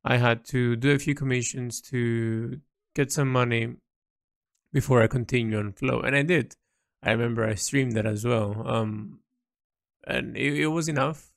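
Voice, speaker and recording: A man recording a reflective, unscripted audio diary, thinking aloud haltingly with repeats, in a creaky voice. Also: medium pitch, no room sound, studio quality. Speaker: painter recording an audio log.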